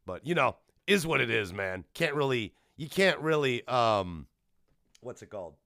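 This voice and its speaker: Bright-voiced man hosting a casual podcast. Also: mid-range voice, unhurried, gravelly, no room sound, studio quality. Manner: animated, blunt, swears freely. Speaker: podcast host. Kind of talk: casual rambling commentary, solo podcast.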